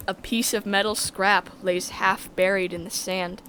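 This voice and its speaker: A woman narrating a sci-fi visual novel in the first person, measured and descriptive. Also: medium pitch, level tone, a bit bright, a little hiss or hum, low-quality recording.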